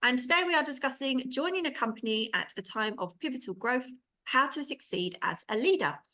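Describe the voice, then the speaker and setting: A woman's voice: sits high, lively intonation, a little fast, bright, forceful tone. A woman hosting a podcast, interviewing her guest in a conversational style. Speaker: podcast host.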